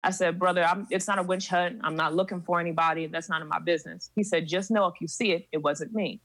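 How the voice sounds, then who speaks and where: A woman guest on a podcast, telling a personal story in a measured way with many pauses. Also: lowish voice, steady tone, fairly bright tone, no echo. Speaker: seminary student. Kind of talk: recounting a personal story in conversation.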